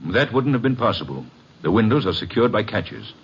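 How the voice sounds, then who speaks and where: An actor playing a guarded club owner under suspicion, with a cool, measured delivery in a radio drama. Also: male voice, on the low side, expressive, slightly rough. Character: guarded, smooth-talking male club owner, murder suspect. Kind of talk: 1950s radio drama acting. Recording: muffled, noisy vintage radio recording.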